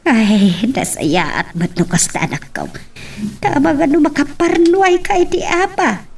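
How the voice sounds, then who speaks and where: A woman's voice: sits high, expressive, a little slow, gravelly, a little mellow, distant mic, exaggerated voice. A woman playing a stern, scolding older mother in an audio love drama, with a Filipino accent and a reproachful tone.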